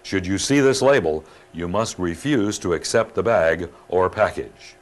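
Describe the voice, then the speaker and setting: A man narrating a scripted instructional training film in a measured, formal, instructive manner. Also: low voice.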